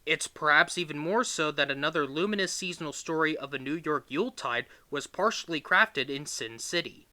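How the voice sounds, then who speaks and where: Bright, lively male read-aloud narrator. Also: a little high. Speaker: reader of a book excerpt. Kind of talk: scripted read-aloud narration of a magazine-style history.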